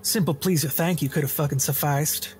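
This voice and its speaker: Guy doing a gruff terse rogue for an rpg, halting, on the higher side. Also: steady tone, close to an everyday voice. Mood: gruff, guarded. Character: gruff, blunt rogue with a gun.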